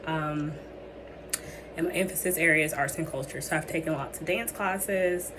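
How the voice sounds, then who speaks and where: A young woman gives a student presentation in a low, deep-set voice that is going hoarse. She hesitates often, filling pauses with ums and restarting her sentences. A steady hiss of background noise sits under the recording. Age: young adult. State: losing her voice. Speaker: student presenting a capstone project. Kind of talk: presentation with many fillers. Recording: noisy background ambience.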